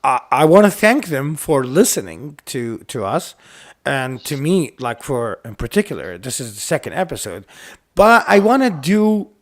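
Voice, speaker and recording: A man's voice: on the high side, very animated, moderate pace. A man from Iraq whose first language is Arabic, speaking English with an Arabic accent, co-hosting a casual chat with many fillers and restarts. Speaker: podcast co-host. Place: lives in the United States.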